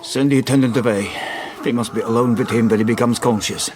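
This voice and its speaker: A man's voice: mid-range voice, steady tone, fast, noisy background, natural voice. Man playing a grave, commanding doctor. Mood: urgent, grave. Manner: urgent, solemn, commanding. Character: grave, authoritative male doctor, vampire hunter. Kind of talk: radio drama acting.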